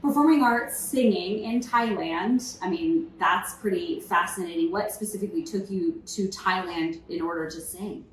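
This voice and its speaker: A woman's voice: fairly low pitch, slight background noise. Echoey, distant woman hosting a podcast. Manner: encouraging, quick affirmations and curious questions. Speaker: podcast host. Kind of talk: casual interview conversation.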